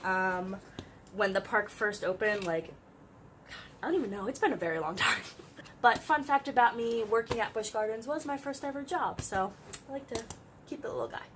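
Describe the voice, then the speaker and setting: A woman's voice: fairly high pitch, lively intonation, normal speed, fairly bright tone, slight background noise. A young woman with a slightly congested voice, chatting casually to the camera as a vlogger, peppering her talk with "like" and little laughs. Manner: chatty, uses "like" often, light laughs. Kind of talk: casual chat. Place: her room.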